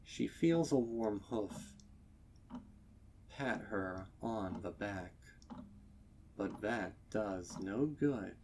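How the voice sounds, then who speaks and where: A man reading a dark story as scripted dramatic narration, measured and with deliberate pauses. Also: lowish voice, a little hiss or hum. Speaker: fan fiction narrator.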